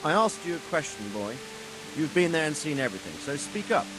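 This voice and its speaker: An actor playing a stern, measured middle-aged lord who leads troops, speaking with deliberate authority in a video game drama. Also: male voice, on the high side, big pitch swings. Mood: stern, impatient. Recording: music behind the voice.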